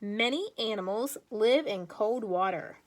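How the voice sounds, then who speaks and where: A woman teacher reading aloud to young children with chatty asides, speaking deliberately and putting questions to her listeners in an encouraging way. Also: medium pitch, lively intonation. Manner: slow, deliberate, emphatic when reading aloud.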